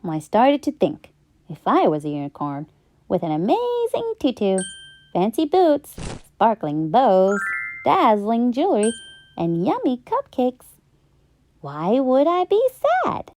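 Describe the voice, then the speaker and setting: Animated, high-voiced woman reading a storybook. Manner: theatrical, emphatic, drawn-out delivery. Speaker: children's storybook read-aloud host. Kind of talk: expressive scripted storytime narration for young children. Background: background music.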